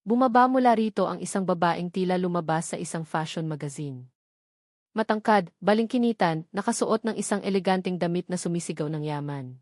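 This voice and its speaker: A woman's voice: fairly low pitch, brisk, a little mellow, no room sound, studio quality. A woman with a Filipino accent reads a scripted romance story as a dramatic narrator.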